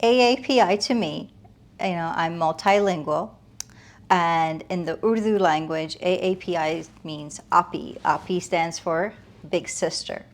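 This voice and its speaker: A woman's voice: lowish voice, measured pace. A woman giving reflective, earnest interview answers in a conversational way, often building emphasis with short listed phrases. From United States, moved from Afghanistan as a toddler. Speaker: interview guest, realtor.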